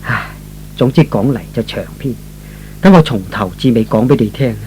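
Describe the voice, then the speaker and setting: A man's voice: medium pitch, normal speed, noisy background, pitched below the performer's own voice, lightly acted. A man playing a weary, sorrowful old father in a radio drama, sighing and lamenting as he speaks. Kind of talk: Cantonese radio drama acting.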